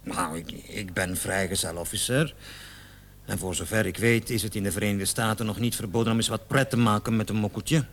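A man's voice: fairly low pitch, fairly even intonation, a little fast, fairly bright tone, a little hiss or hum. An actor in a radio play, a man playing a cocky travelling salesman in his thirties, defensive and hesitant under police questioning. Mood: hesitant, explaining. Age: 30s. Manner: hesitant, stammering, protesting with nervous chuckles.

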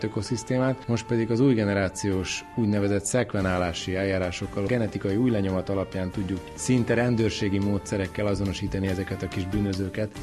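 A man explaining research as a scientific expert in an interview. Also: deep voice.

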